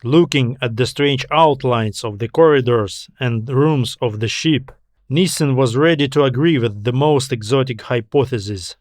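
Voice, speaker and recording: A man's voice: medium pitch, unhurried, a little mellow, dry recording, clean studio sound. A man reading a scripted science fiction story as an audiobook narrator.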